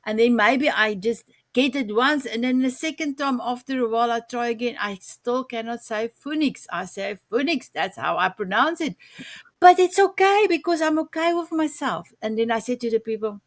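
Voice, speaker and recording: Talk show host, Afrikaans-native woman, higher voice, dry sound, hesitant and animated. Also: normal speed, no echo. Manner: hesitant, repeats words, animated. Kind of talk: casual talk show chat.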